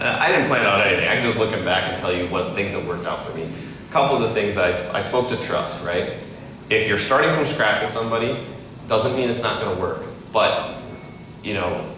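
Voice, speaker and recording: A low-voiced man in his thirties talking through founder questions at a live event, chatty and full of restarts. He sounds far from the mic in a booming, echoey hall, with steady noise underneath. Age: 30s. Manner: conversational, many restarts and "right?" tags. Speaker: entrepreneur and investor, interview guest. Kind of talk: casual live interview on stage.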